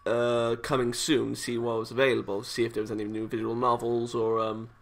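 A man's voice: medium pitch, flat delivery, fairly quick, vocal fry, slight background noise. A British man hosting a gaming video, chatting casually and reading visual novel text aloud with playful, self-interrupting asides. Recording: music playing behind the voice.

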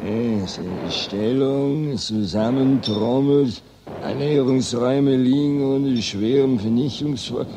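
An actor playing a pompous, tipsy Austrian general in a German-language radio play, bumbling and repeating himself. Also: male voice, medium pitch, slow. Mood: flustered. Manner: bumbling, repetitive, drawling. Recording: noisy old radio recording, banquet din.

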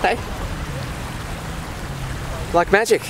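A man in his forties with an Australian accent, chatting casually to the camera in his car and on a hike with his dogs. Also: very slow. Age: 40s. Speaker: vlogger. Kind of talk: casual vlog talk to camera. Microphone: camera held at arm's length or mounted on the dash. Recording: outdoor camera audio with clear background noise.

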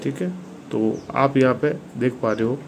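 A man's voice: medium pitch, steady tone, normal speed, a little mellow. A man with an Indian accent giving a casual, informal hands-on phone review, often addressing his viewers. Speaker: tech reviewer, video host. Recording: clearly noisy room recording.

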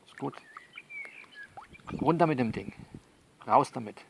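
A man playing a soothing but bossy confidant who coaches someone by phone in an audio drama, halting and repeating himself as he instructs. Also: medium pitch, vocal fry, slight background noise. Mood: bossy, coaxing. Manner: halting, repetitive, instructive, reassuring. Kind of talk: one-sided phone conversation in an audio novel.

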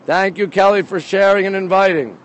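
A man chats casually to live viewers in short, excitable bursts, repeating his phrases. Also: high voice, brisk, highs cut off. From Montreal, Canada. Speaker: livestream host. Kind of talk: casual live walking tour chat. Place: outdoors on a city bridge by a river. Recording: windy outdoor phone, ambient noise. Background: wind and light outdoor noise.

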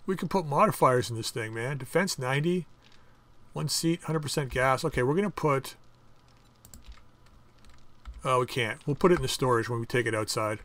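A man hosting a casual game playthrough, chatting and thinking aloud as he crafts items, with his voice going a bit hoarse. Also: mid-range voice, normal speed, pauses often, fairly bright tone, no room sound. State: losing his voice. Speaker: gaming channel host. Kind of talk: casual let's-play commentary.

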